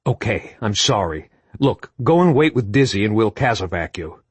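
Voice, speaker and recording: A man's voice: on the low side, big pitch swings, normal speed, husky, no echo. A male narrator voicing a frustrated male soldier scolding a comrade in raised, exasperated tones.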